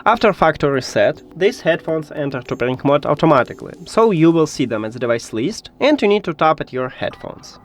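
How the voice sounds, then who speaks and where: Man giving step-by-step tech instructions, lively pitch. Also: brisk, hardly any pauses, faint music behind. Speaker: tech tutorial presenter. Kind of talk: step-by-step spoken tutorial.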